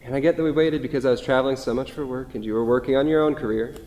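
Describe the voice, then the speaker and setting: A man playing a wistful, gently rueful grieving widower in a staged play reading, recorded in an echoey hall. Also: mid-range voice, steady tone, very fast, close to an everyday voice. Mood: subdued regret. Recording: echoey hall with long reverb.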